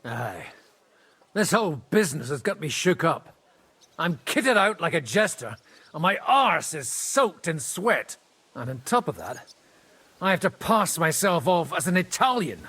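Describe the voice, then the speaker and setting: Grumpy flustered bloke in a game, raspy, earthy, very up and down. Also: male voice, a little high, very animated, a little slow, bright, forceful tone, full character voice. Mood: flustered grumbling. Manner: grumbling, earthy. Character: coarse, flustered man posing as a dignitary, grumpy. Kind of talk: video game voice acting.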